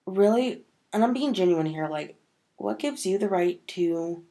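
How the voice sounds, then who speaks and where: A woman's voice: mid-range voice, lively intonation, unhurried, mellow. A woman talking casually to camera in a vlog, sniffly from a cold and rambling with many fillers. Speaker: vlogger.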